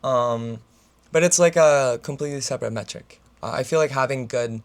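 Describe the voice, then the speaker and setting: A young man in his twenties, a student, chatting casually to camera in a rambling vlog, full of fillers, his voice raspy right now. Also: medium pitch, normal speed. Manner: filler-heavy, talks with his hands. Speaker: student vlogger.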